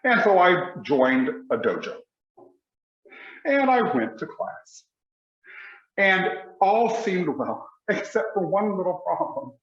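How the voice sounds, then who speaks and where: A man, giving a motivational speech with emphatic, deliberate pauses. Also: high voice, big pitch swings, a bit bright, roomy sound, highs cut off. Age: 40s. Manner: emphatic, slow deliberate delivery with dramatic pauses. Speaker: motivational speaker.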